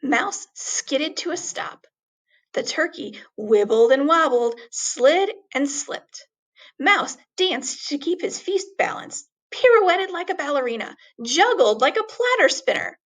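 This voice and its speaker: A woman reading a children's story aloud expressively, with deliberate, dramatic emphasis on words. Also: high voice, big pitch swings, fairly bright tone.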